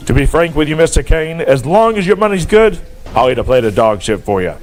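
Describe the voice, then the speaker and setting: An actor playing a short, eager ring announcer with an ingratiating, polished voice and no southern twang. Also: male voice, on the high side, very animated, a little fast, faint music behind. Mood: eager, fawning.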